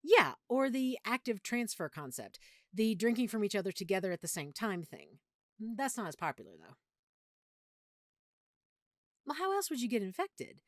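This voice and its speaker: Casting: actress as affectionate girlfriend with a vampire secret, intimate audio roleplay. Lively, swooping intonation, medium pitch, very dry close sound. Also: moderate pace, pauses often, no room sound, close to an everyday voice. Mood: playful, opinionated. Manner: chatty, playful, geeky explaining.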